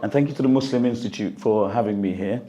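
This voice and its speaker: A man with a croaky, strained voice speaks to an audience at a book launch, then reads an excerpt, earnest and reflective, with the odd cough. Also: fairly low pitch, fast, warm tone, highs cut off. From United Kingdom. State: croaky voice, recovering from losing it. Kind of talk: talk and reading from his book. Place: hall at a gathering, in front of an audience. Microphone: handheld microphone.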